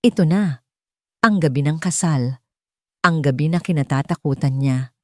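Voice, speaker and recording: A woman's voice: low-pitched, normal speed, no echo, clean studio sound. A woman with a Filipino accent reading a scripted dramatic story as a narrator.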